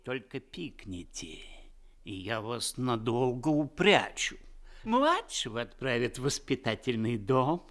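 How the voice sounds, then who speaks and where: An actor playing a greedy, mocking shopkeeper who threatens two boys in a radio play. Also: male voice, mid-range voice, very animated, a little slow, a bit bright. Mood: menacing. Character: sly greedy shopkeeper man, middle-aged, menacing. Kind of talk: Soviet radio play acting.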